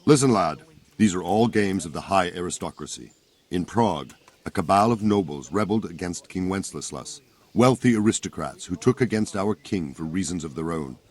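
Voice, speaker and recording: A low male voice as a seasoned soldier in a medieval game drama. He is plain-spoken and grave, and explains matters patiently, as if to a younger man. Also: expressive, moderate pace, darkish tone, breathy edge. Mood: grave, instructive. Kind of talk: video game cutscene acting.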